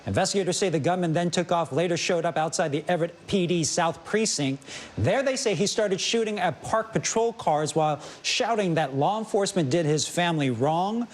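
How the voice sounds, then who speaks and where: A male news anchor reads scripted stories. His voice sits a bit higher than most men's. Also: a little fast.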